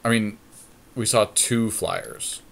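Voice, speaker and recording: A man's voice: lowish voice, slow pace, gravelly, fairly bright tone. A man co-hosting a podcast, giving casual card game commentary and thinking plays through aloud in a conversational way. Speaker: podcast co-host.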